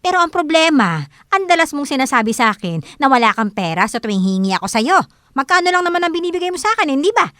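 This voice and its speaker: A high, forceful Filipino mother, nags and accuses in an audio drama. Her pitch swings widely as she bullies her daughter, close and dry over soft music. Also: big pitch swings, fast, no room sound. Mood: irritated, accusing. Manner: scolding, accusatory. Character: harsh, nagging, gambling mother who bullies her daughter.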